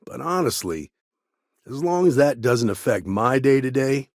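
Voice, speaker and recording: A man playing a level-headed, world-weary lawman ranger in a video game, with a gravelly, husky voice and a firm, plain-spoken way of talking. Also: mid-range voice, animated, measured pace, a little mellow, no room sound, clean studio sound. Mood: matter-of-fact. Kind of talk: game character dialogue lines.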